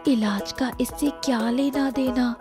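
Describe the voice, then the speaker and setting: A woman plays an earnest young granddaughter in a cartoon tale. Her voice is high and slow, full of worried questions, with music clearly behind it. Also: fairly even intonation, lightly acted. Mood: worried, doubtful. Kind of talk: scripted cartoon story acting.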